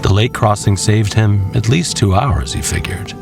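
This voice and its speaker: A man narrating a scripted story with measured, dramatic, drawn-out phrasing. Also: fairly low pitch, creaky, over music.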